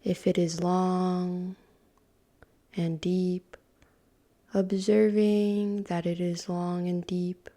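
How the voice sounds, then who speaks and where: A woman guides a meditation at an extremely slow, calm pace, leaving long pauses between short phrases. Her voice sits a little low and is dark and mellow. It is soft and breathy, often trailing into a whisper.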